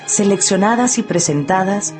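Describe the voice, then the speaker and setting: A woman's voice: mid-range voice, animated, moderate pace. A woman announcer reading a scripted introduction for a radio programme. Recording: loud music over the announcement. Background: loud music.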